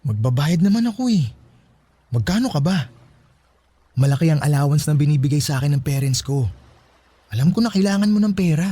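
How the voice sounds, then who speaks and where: A Filipino man playing a smooth, entitled young rich suitor in a radio drama, talking in a coaxing, insinuating way. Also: a little high, expressive, brisk, pauses often, dark tone, slight background noise, natural voice.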